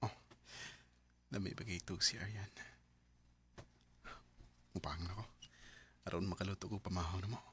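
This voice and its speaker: An actor playing an anguished young boyfriend in a radio drama, speaking emotively and colloquially with a Cebuano Filipino accent. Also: male voice, deep voice, fairly even intonation, moderate pace, long pauses, noisy background, natural voice. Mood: casual, defensive. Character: anguished young boyfriend torn by temptation and betrayal.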